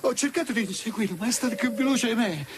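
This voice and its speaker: An actor playing an obedient, excitable police subordinate in a radio drama, eager and deferential. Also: male voice, very high voice, fast talker, heavy background noise. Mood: agitated. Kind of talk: Italian radio drama acting.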